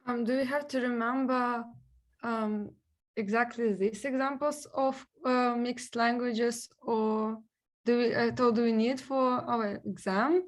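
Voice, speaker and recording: A woman's voice: a little high, steady tone, measured pace. A woman student from Ukraine with a Ukrainian accent, asking hesitantly and searching for words. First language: Surzhyk.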